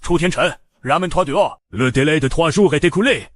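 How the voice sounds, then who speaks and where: A man voicing a sneering, threatening thug who collects a debt, in a French animated series dub. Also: fairly high pitch, a little fast, natural voice. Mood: menacing. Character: menacing male thug, debt collector, arrogant.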